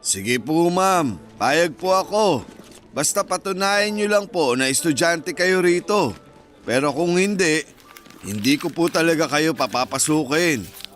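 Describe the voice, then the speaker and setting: An actor in a Filipino radio drama playing a stubborn university security guard who is polite, firm and by the book. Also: male voice, on the high side, expressive, a little slow, fairly bright tone, soft background music. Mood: unyielding.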